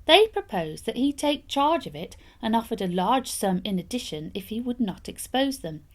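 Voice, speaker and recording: A woman reading a fairytale aloud as an expressive storyteller. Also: a little high, moderate pace.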